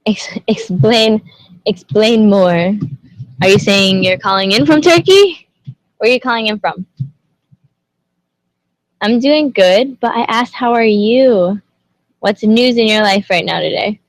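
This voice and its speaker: A woman's voice: mid-range voice, a little slow, pauses often, dry recording, low-quality recording. A young woman who grew up in Indonesia, hosting a casual livestream and chatting with viewers, greeting them and asking lots of questions. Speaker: livestream host.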